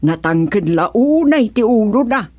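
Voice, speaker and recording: A woman's voice: medium pitch, animated, measured pace, darkish tone, dry recording, clean studio sound. A woman playing a stern, concerned mother who admonishes, in an Ilocano radio serial drama. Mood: exasperated. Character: stern, concerned mother scolding her grown child.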